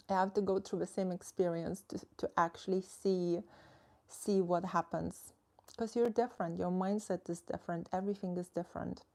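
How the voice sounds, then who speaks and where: A woman's voice: lowish voice, fairly even intonation, moderate pace, a little mellow. A young woman, a social media coach chatting casually on a live stream, reading and answering viewer comments in a frank, filler-heavy way.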